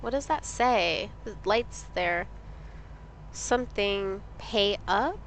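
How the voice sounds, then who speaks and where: A woman's voice: medium pitch, lively intonation, slow, fairly bright tone, slight background noise, low-quality recording. A woman streaming a game, sniffly, chatting casually with viewers and reading things aloud. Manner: chatty, reads things aloud, asks chat questions. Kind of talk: casual live-stream commentary.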